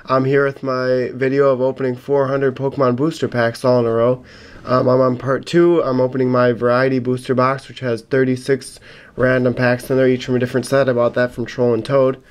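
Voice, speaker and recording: A young man with a slightly raspy voice from a cold, giving casual, matter-of-fact commentary as he hosts an unboxing video. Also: medium pitch, little pitch movement, fairly quick. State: getting over a cold. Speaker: YouTube host opening trading card packs. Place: indoors at a table in a home room. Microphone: camera mic at about arm's length. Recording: noisy room, card and wrapper crinkling. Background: crinkling packs, clicks, faint noise.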